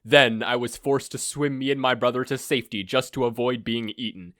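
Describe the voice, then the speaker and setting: A man's voice: mid-range voice, fairly even intonation, fairly quick, fairly bright tone, no room sound, clean studio sound, close to an everyday voice. A man voicing a sinister, self-absorbed male supervillain who monologues in a pompous, theatrical way, in a story reading. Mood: boastful storytelling.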